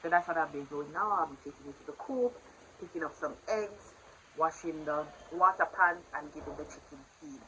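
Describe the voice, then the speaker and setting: A woman's voice: deep voice, brisk, slight background noise, poor audio. A young woman in her twenties with a Jamaican accent, talking casually to her viewers in a home vlog. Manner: chatty and warm with her viewers, swings loud when playing with her baby. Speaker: vlogger. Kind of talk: casual vlog talk to camera. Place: home in the morning, indoors.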